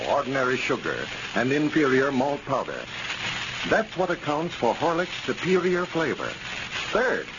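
Vintage radio announcer, man, scripted and emphatic, highly varied pitch, noisy old broadcast sound. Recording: scratchy, muffled 1930s radio recording.

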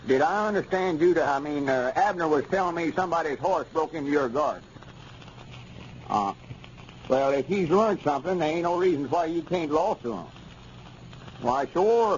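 An actor playing an eager, self-important country storekeeper turned amateur lawyer in 1930s radio comedy, with a rural Southern American accent and folksy grammar. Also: male voice, fairly high pitch, natural voice. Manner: folksy, pompous, bad grammar. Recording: muffled, noisy 1930s radio recording.

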